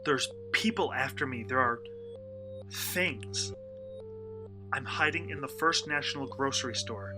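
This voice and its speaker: Male actor for a hunted survivor in horror audio drama: frightened, faltering, profane, deliberate pace, clear musical underscore. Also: mid-range voice, music in the background, natural voice. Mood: desperate pleading. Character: terrified, desperate man hunted by monsters, in hiding.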